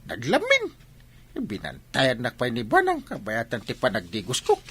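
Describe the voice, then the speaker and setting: A man playing a sheepish village captain in a comic radio drama. His voice is husky and swings wildly up and down, stammering and evasive, recorded close and dry. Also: medium pitch, huge pitch swings, normal speed, a bit bright, no echo, full character voice. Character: village captain, reluctant bather, sheepish henpecked husband. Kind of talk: Ilocano comedy radio drama acting.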